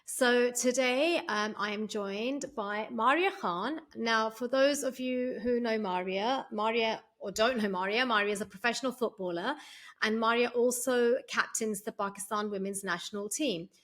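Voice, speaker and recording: A woman's voice: a little high, normal speed, fairly bright tone. A woman hosting an interview podcast, welcoming her guest and asking questions with encouraging reactions. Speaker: podcast host.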